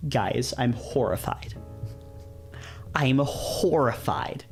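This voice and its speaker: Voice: medium pitch, lively intonation, very slow, faint music behind. A streamer who reads visual novels aloud and chats casually, often repeating phrases when reacting. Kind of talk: livestream read-aloud with casual chat.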